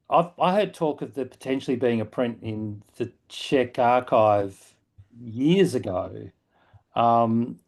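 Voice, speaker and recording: A man's voice: medium pitch, a little mellow. A man talking conversationally in an online discussion, direct and questioning.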